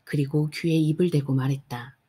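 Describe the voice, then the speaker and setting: A woman narrating a bedtime audiobook in a soft, unhurried way. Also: low-pitched, steady tone, breathy edge. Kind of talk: calm bedtime audiobook reading.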